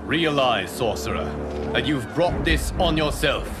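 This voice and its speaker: An actor playing a stern, noble warrior god in a video game cutscene, with solemn, formal, measured delivery. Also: male voice, medium pitch, expressive, natural voice. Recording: loud music under the voice.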